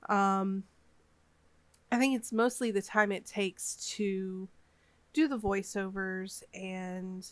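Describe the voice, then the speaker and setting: Vlogger woman, 30s, sniffly from a cold, slow and meandering, dry close sound. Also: mid-range voice, fairly even intonation, no room sound. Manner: rambling, hesitant, lots of fillers. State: has a cold, a bit sniffly. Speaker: gaming YouTuber. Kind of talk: casual voiceover over a speedbuild.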